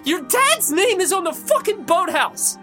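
A woman plays a stubborn young college rower with a chip on his shoulder in an audio drama. The delivery swings widely in pitch, with music underneath. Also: sing-song, measured pace, bright, forceful tone, full character voice. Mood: bitter outburst. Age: young adult.

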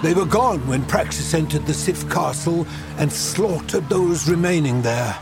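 An actor playing an ancient, grave warlock mentor in an audio drama, telling dark history in a portentous, deliberate way. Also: male voice, fairly high pitch, animated, music in the background. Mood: grim.

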